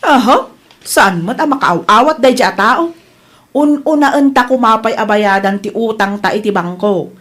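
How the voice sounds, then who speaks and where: Fretful, exasperated businesswoman and wife , woman actor, Ilocano accent, notably high voice, amateur drama style. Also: animated, normal speed, fairly bright tone. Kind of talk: amateur audio drama acting.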